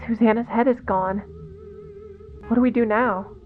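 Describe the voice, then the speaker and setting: A woman's voice: fairly high pitch, steady tone, moderate pace, phone-quality sound, faint music behind, lightly acted. A woman voicing an uneasy cult disciple in a horror web series, hesitant and questioning.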